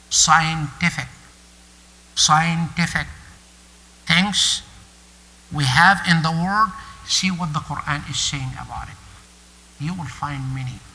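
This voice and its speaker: A man's voice: fairly high pitch, bright, forceful tone. Man preaching in a reverberant hall. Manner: emphatic, repeats phrases for stress. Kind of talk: sermon. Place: mosque prayer hall.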